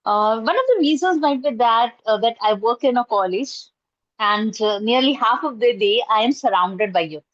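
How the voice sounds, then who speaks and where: A middle-aged Indian woman with a fairly high voice and an Indian accent talks earnestly, full of fillers and restarts, as an interview guest. Her first language is Urdu. The video-call audio is dry and somewhat dull. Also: lively intonation, moderate pace, no room sound. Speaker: guest, college professor and trainer. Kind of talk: casual interview answers. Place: indoors at home, curtain behind.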